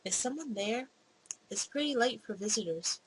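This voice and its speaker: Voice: mid-range voice, lively intonation, moderate pace, slight background noise, voice pushed up, natural voice, rough recording. A game host voicing a blunt, exasperated policewoman girlfriend from a visual novel. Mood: concerned, calling out.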